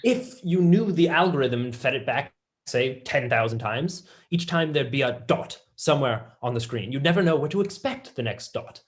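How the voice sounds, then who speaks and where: A young man playing a passionate, blunt, excitable mathematician in a staged play reading. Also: medium pitch, moderate pace, close to an everyday voice. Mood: explaining. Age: young adult.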